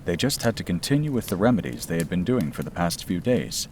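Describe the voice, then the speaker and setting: A man's voice: sits low, very animated, fairly quick, darkish tone. A man reading a scripted bedtime story as its narrator. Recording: clear background noise and ambience.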